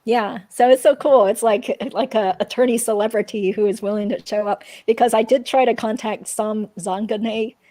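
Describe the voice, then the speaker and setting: A woman's voice: fairly high pitch, fairly even intonation, normal speed, few pauses, mellow, no echo. A woman hosting a livestream, chatting informally in a casual commentary style. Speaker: livestream host. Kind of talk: casual chat, true-crime commentary.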